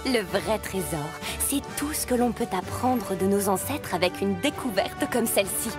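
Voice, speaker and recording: A woman's voice: mid-range voice, lively intonation, moderate pace, music in the background, lightly acted. A woman voicing a knowledgeable, kindly explorer who guides youngsters in a children's cartoon, instructive and encouraging. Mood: gently instructive. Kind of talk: animated children's cartoon acting.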